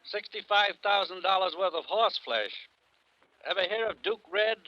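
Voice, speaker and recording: Terse, sharp-voiced insurance man. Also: sits high, expressive, normal speed, no room sound. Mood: worried, terse. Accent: American. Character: worried male insurance bureau manager on a call. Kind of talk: 1950s radio drama acting. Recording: dull, dry vintage radio recording.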